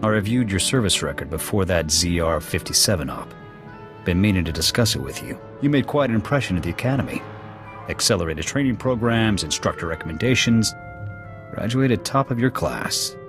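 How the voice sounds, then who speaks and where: An actor playing a gruff, proud alien military officer in a video game, with an American accent and a blunt, clipped military delivery. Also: male voice, low-pitched, animated, a little fast. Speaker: soldier serving as second to his commander. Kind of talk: video game dialogue acting. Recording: background music under the dialogue.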